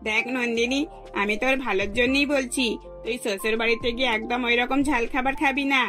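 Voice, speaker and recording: Woman as an earnest middle-aged mother, highish voice. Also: steady tone, brisk, bright, forceful tone, soft background music. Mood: admonishing. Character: anxious, admonishing middle-aged mother of a bride. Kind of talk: scripted cartoon dialogue.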